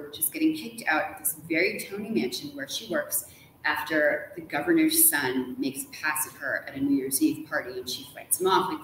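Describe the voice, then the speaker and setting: A woman in her forties, an author being interviewed, telling stories in an animated, informal way full of casual "likes". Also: sits low. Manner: animated storytelling, informal, uses "like" often. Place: large hotel room, indoors. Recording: echoey room, faint background noise.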